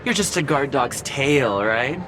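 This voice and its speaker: A man's voice: mid-range voice, expressive, a little slow, lightly acted. A man voicing a mocking, theatrical, malevolent spirit in a video game, drawing out his words. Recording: loud music behind the voice.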